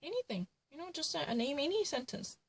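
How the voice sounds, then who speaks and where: A woman's voice: high-pitched, sing-song, brisk, dry recording. A woman ranting to camera in an indignant, repetitive way, filling her sentences with "actually" and "you know". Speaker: vlogger.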